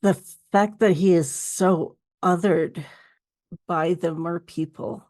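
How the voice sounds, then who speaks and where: A woman's voice: on the low side, steady tone, slow, a little mellow. A woman hosting a casual interview chat as the interviewer. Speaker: podcast host, interviewer.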